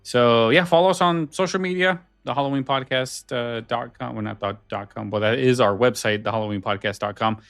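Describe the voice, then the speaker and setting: A man hosting a podcast in casual chat, halting a little and correcting himself as he goes. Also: mid-range voice, creaky, fairly bright tone, smooth, dry recording, studio quality, soft background music. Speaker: podcast host. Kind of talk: casual chat and show sign-off.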